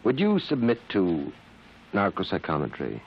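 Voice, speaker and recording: An actor in an old-time radio drama playing a measured army psychiatrist who questions formally and deliberately. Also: male voice, low-pitched, wildly swooping pitch, breathy edge, heavily acted. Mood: clinical, measured. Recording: noisy, muffled old radio broadcast recording.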